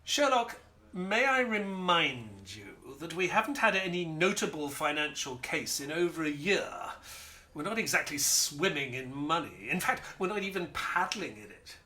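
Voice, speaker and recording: An actor playing a polite, earnest detective's companion who narrates an audio drama in a formal, theatrical storytelling manner. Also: male voice, fairly high pitch, very animated, normal speed, bright, forceful tone, heavily acted. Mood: exasperated.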